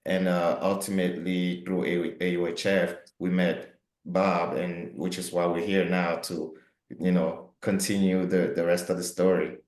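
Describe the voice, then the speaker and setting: A man's voice: low voice, level tone, unhurried, darkish tone, echoey room. A man from Cameroon, co-founder of a housing company, answering interview questions with many fillers and restarts.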